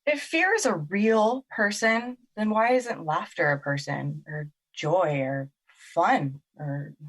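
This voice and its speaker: A woman's voice: on the low side, animated, slow. A woman playing a skeptical, bitter young girl in an audio drama, emotional and sardonic.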